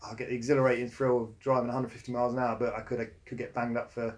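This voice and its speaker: A man's voice: medium pitch, little pitch movement, racing. A man co-hosting a podcast, easygoing in casual chat and often trailing off mid-sentence. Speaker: podcast co-host.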